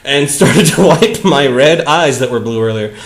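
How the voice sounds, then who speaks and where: A man doing a comedic dramatic reading of bad fan fiction, with deadpan asides and casual, giggly banter. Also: mid-range voice, normal speed, roomy sound.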